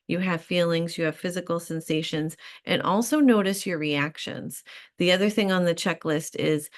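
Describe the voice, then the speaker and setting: Calm, lower-voiced woman giving gentle advice. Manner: calm, reflective, encouraging, with frequent fillers. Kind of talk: solo advice talk.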